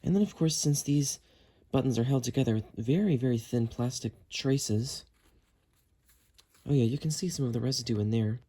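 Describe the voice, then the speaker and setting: A man's voice: medium pitch, normal speed, mellow. A man giving a casual how-to narration, explaining each step as he works with his hands. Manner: explanatory, talking while working with hands. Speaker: host of a how-to cleaning video.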